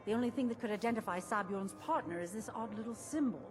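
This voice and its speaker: A woman's voice: on the high side, fast, lightly acted. A woman playing a cold, commanding crime boss in a fantasy video game, speaking in a curt, imperious, businesslike way. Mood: cold, displeased. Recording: music playing behind the voice.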